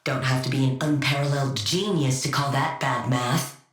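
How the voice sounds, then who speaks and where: A woman playing a cold, sardonic scientist villain in a video game, speaking in a dry, clipped deadpan. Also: a bass voice, moderate pace, echoey room. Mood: bitterly sarcastic. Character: cold, sardonic female genius scientist villain devoted to her wife.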